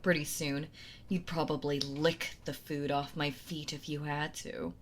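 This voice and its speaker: A woman's voice: sits low, flat delivery, slight background noise. A woman playing a possessive, sweetly cruel yandere wife in an intimate roleplay audio drama, gloating and mock-tender as she toys with her captive. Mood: mockingly cheerful. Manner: slow taunting delivery, breathy soft laughs, mock-tender.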